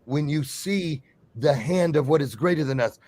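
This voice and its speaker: A man speaking with effusive, animated enthusiasm on a talk show, eager to keep the floor. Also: a little high, normal speed. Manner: effusive, animated, talks over others.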